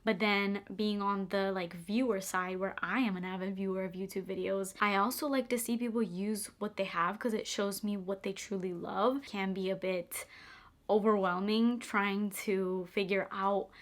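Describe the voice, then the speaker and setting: A young woman whose first language is Albanian talks through viewer questions in a relaxed vlog. Her speech is chatty and loose, with "like" dropped in constantly. She is recorded indoors at home on a camera mic. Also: medium pitch, moderate pace. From Albanian heritage. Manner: chatty, frequent "like" fillers. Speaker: beauty and perfume YouTuber. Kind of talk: casual get-ready-with-me Q&A chat.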